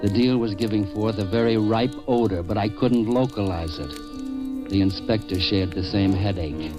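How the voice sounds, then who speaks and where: Guy acting a tough wisecracking cop, old radio drama, low sardonic voice, music behind. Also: moderate pace, close to an everyday voice. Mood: weary narrating. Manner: clipped, sardonic. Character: tough, wisecracking hardboiled male police detective.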